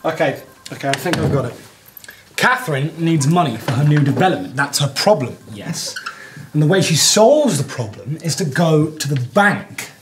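An actor playing an earnest, patient young scriptwriter in a naturalistic screen comedy. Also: male voice, a little high, lively intonation, normal speed, a little hiss or hum, close to an everyday voice. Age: young adult. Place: small office with whiteboard.

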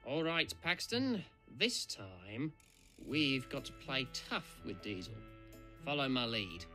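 A cocky red steam engine playing cartoon detective , acted by a man. The delivery is theatrical, slow and lively in pitch, with a bright edge. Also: faint music behind, full character voice. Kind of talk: cartoon fan dub acting.

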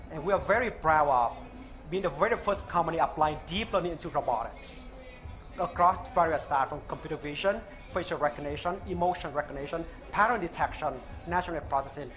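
A man in his thirties with a thick Vietnamese accent, earnestly pitching a product live on stage on a headset mic. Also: fairly bright tone. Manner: earnest, halting non-native phrasing. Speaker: startup founder pitching. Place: open-air stage at a trade show.

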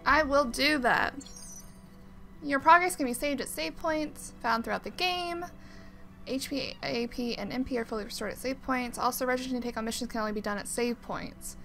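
Bright, high-voiced woman gamer. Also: moderate pace, soft background music. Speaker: let's play host. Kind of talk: casual gameplay commentary, reading on-screen text aloud.

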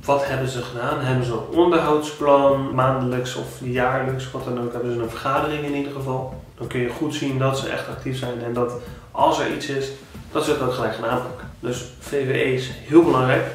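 A man in his thirties with a Dutch accent, giving home-buying tips in a casual, animated vlog with many restarts. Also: medium pitch, brisk. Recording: very echoey room, a bit distant.

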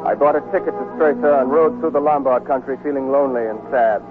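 A man's voice: medium pitch, steady tone, quick pace, loud music behind the voice, natural voice. An actor playing a weary American army deserter narrating his wartime love story in a 1940s radio drama. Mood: grim narrating. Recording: muffled, noisy 1949 radio broadcast recording.

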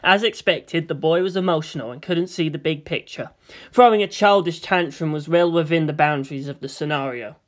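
A woman's voice: low-pitched, a little fast. A woman reading scripted fiction as an audiobook narrator.

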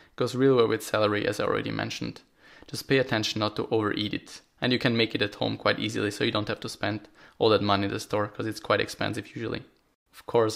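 A young man with a gravelly, creaky edge to his voice talks through practical tips in a calm, matter-of-fact way. He keeps his pitch level and steady, with little rise or fall. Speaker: vlogger. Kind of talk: scripted voice-over tips. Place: outdoors by apartment blocks in winter.

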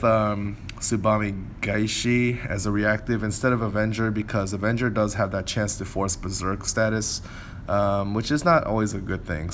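A man's voice: fairly low pitch, fairly bright tone, a little hiss or hum. A man giving casual, unscripted game walkthrough commentary in a conversational way, often hedging and correcting himself. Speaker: game video creator.

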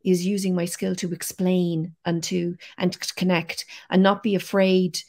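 A middle-aged Irish woman, hoarse with a cold, talking openly as a guest on a video call. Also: on the low side, level tone, measured pace, darkish tone. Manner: open, reflective, rambling. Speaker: guest, space communicator. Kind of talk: casual interview chat. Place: home room.

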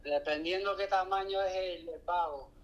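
A man's voice: high voice, fairly even intonation, moderate pace, fairly bright tone. A man working in pest control, chatting casually on a phone call played over speakerphone. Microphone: telephone heard on speakerphone. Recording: dull, lo-fi phone line.

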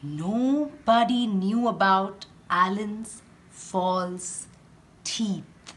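A woman with an Indian English accent, a teacher reading a picture book aloud in a very slow, expressive storytelling way. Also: medium pitch, poor audio.

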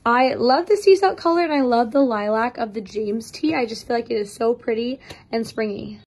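A young woman with a slightly raspy voice from losing it, chatting bubbly to viewers in a try-on vlog, filmed on a phone in a mirror in a bright living room. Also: on the high side, moderate pace. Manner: bubbly and chatty, addressing viewers as you guys. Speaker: clothing brand try-on host. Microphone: phone held up for a mirror selfie.

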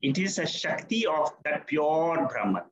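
Older male teacher, patient explainer, animated delivery, roomy call audio. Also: fairly high pitch, a little slow, lo-fi sound. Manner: patient, explanatory, affirming the student often. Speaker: Vedanta teacher. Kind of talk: answering questions in an online class. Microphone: video call.

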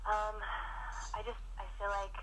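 A woman's voice: mid-range voice, completely flat delivery, measured pace, fairly bright tone, a little hiss or hum, poor audio. A woman calling into a radio show, nervous and giggly with short answers. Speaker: radio caller. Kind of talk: phone call on air.

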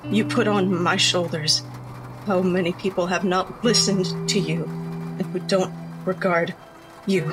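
A woman playing a wounded, bitter adventurer in a tabletop game, speaking in a halting, deliberate, heavy-hearted way. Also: lowish voice, rough recording. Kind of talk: in-character tabletop roleplay.

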